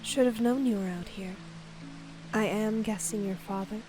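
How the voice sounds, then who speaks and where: A woman's voice: medium pitch, normal speed, lightly acted, poor audio. A woman playing a devoted, protective young rabbit-eared bride-to-be in an audio drama, speaking with earnest emotion. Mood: gentle, caring. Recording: music and rain behind the voice. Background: music and rain.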